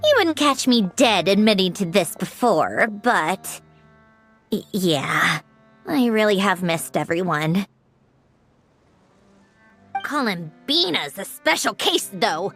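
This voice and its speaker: A woman playing a proud, aloof, sharp-tongued young genius in a video game, with a dry, lecturing manner. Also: medium pitch, big pitch swings, takes it slowly, long pauses, exaggerated voice. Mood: reluctant, sincere. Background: background music.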